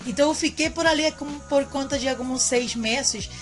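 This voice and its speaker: A woman's voice: sits high, moderate pace, faint music behind. A woman from Mexico, whose first language is Spanish, chats to camera in a Mexican Spanish accent, rambling, repeating herself and tagging lines with "né". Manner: chatty, rambling, repeats phrases and tags with "né". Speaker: vlogger. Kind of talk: casual chat to camera.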